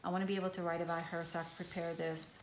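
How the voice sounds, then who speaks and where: Low-voiced woman, Hebrew native, echoey room. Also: very fast. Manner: relaxed, rambling, frequent ums and restarts. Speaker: craft vlogger. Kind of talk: casual show-and-tell of a handmade journal. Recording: echoey room with faint hiss.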